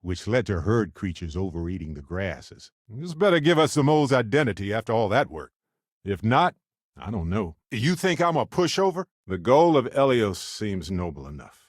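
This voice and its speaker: A man's voice: fairly low pitch, sing-song, moderate pace, dry recording, clean studio sound. A man voicing a wry, good-hearted space explorer companion in a video game, conversational and earnest. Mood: alert, urgent, then earnest, tender.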